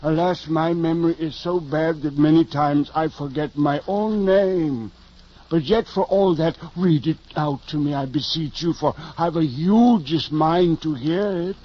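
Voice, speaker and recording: An actor playing a simple, practical, fretful peasant squire in 1950s radio drama, plain-spoken and earnest. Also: male voice, on the high side, measured pace. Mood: eager, earnest. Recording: dull vintage radio recording.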